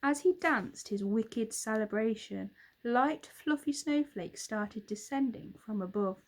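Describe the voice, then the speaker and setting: A woman reads a children's story aloud with dramatic expression. Her voice is fairly high and she takes her time, pacing each line very slowly. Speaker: storybook reader, teacher. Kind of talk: scripted children's story narration.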